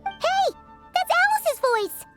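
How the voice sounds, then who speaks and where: A squeaky little fairy companion for a video game. The pitch is extremely high and swoops up and down with excitable, childlike energy. Faint music plays underneath. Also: female voice, slow pace, exaggerated voice. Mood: surprised. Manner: speaks of herself in third person, expressive. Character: small excitable childlike fairy companion, female, hungry and impatient. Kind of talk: video game character voice acting.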